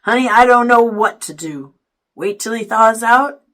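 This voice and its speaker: Storybook role: thirties dad, done by a woman, amused and wry, animated delivery with a bright edge. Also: fairly high pitch, unhurried. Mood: amused, baffled. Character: amused teasing father, thirty-five, easygoing.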